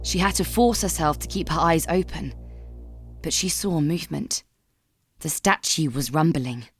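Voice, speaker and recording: A woman narrating scripted dramatic fan fiction as a storyteller, in a measured, solemn manner. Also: deep voice, faint music behind.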